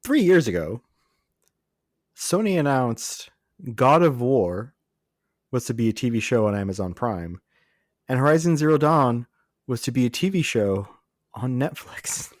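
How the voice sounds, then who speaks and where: A man with a mid-pitched voice chats casually as a podcast co-host. He tells things in a jokey way, with little exclamations along the way. The sound is dry, with hardly any room on it. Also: animated, a little slow, long pauses, dry recording. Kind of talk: casual film and TV news chat.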